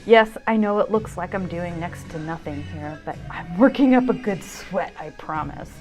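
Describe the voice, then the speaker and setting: A woman in her fifties narrating her own video in a reflective, wry, self-deprecating way with expressive emphasis. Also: on the low side, normal speed, poor audio. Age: 50s. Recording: music under the voice. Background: music.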